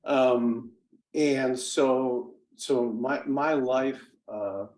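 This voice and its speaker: A man in his sixties whose first language is Italian, telling his life story as an interview guest in a halting way, with many restarts and fillers. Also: medium pitch, a little mellow, rough recording. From United States. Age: 60s. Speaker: interview guest, business executive. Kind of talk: conversational storytelling.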